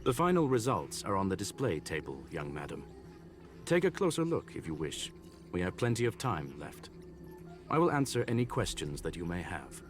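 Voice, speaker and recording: A man voicing a learned mechanical gentleman in a video game, formal, calm and eloquent. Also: low-pitched, normal speed. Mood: courteous, analytical. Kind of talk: scripted video game dialogue. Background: game music.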